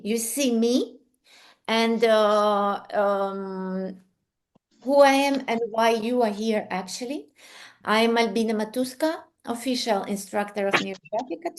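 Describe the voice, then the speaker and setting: A woman with a Ukrainian accent introducing an online class as its instructor, speaking informally in halting, non-fluent English with hesitations. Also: a little high. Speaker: course instructor. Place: online video call with screen sharing.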